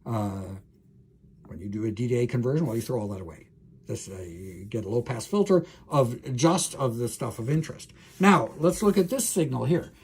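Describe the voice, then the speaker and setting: A man's voice: mid-range voice, normal speed, a little mellow, husky. A man lecturing as a professor, explaining technical material informally, thinking aloud with frequent hesitations and self-corrections and speaking directly to the listener.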